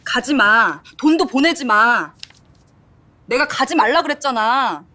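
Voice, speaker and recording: A cold, resolute wife, voiced by a woman in an audio drama. Her voice sits fairly high and swings widely, giving firm, clipped replies with a cutting edge. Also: animated, takes it slowly, pushed and bright, soft background music, exaggerated voice.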